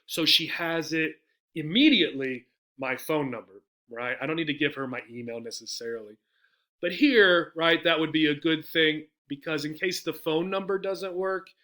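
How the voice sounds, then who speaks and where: A man's voice: fairly high pitch, pushed and bright. A man speaking as an English teacher, explaining in a conversational way with frequent check-ins and acted-out example phrases. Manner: conversational, often checks in with "right?". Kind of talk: explanatory lesson with example phone messages.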